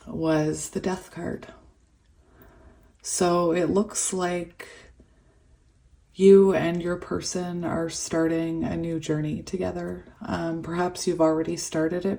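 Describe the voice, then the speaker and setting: Hoarse woman losing her voice. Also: fairly low pitch, a little mellow. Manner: chatty, laughs often, trails off with fillers. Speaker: tarot reader. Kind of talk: casual tarot reading to camera.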